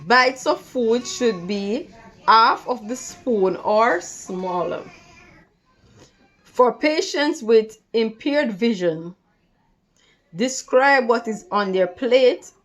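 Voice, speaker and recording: A woman tutor giving an instructional read-along lesson, reading out material deliberately, step by step, in short phrases. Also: a little high, lively intonation, low-quality recording. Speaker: nursing assistant tutor.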